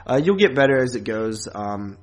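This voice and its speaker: A man's voice: medium pitch, very animated, moderate pace. A man hosting a podcast in casual chat, rambling along informally and chuckling often. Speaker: podcast host. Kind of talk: casual chat about horror movies and podcasting.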